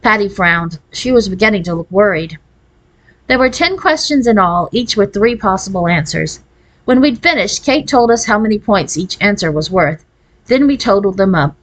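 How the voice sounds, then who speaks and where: A woman's voice: medium pitch, lively intonation, moderate pace, rough recording. A woman reading a children's novel aloud as a first-person narration. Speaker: storybook reader.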